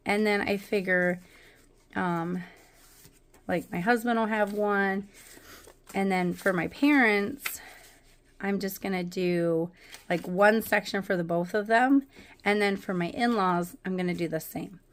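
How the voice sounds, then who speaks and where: A woman's voice: medium pitch, fairly even intonation, a little slow, long pauses. A woman in her thirties hosting a casual crafting video, her voice still worn from a cold, talking in a rambling way with lots of ums and likes. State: recovering from a cold, voice not fully back. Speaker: craft channel host. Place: indoors at a craft table.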